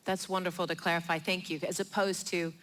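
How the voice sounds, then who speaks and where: A woman's voice: on the low side, little pitch movement, fairly quick, a bit bright. A woman moderating a live panel discussion on stage in an encouraging, affirming way. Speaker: panel host. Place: live event in a theatre.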